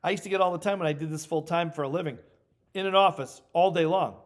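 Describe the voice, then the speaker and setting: A middle-aged man giving an instructional training talk as a coaching trainer, speaking emphatically and deliberately and repeating key points for stress. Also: a little high.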